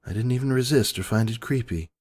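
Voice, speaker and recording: A man's voice: mid-range voice, fast talker, darkish tone. A man giving an earnest, solemn dramatic narration of a first-person story. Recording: background music.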